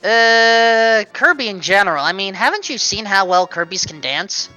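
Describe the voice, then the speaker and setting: A woman commentating a video game race with a bright, clear tone and a middle-pitched voice. She talks casually and playfully, explaining game logic as she goes. Faint music sits under her voice. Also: animated, normal speed, lo-fi sound. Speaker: speedrun commentator.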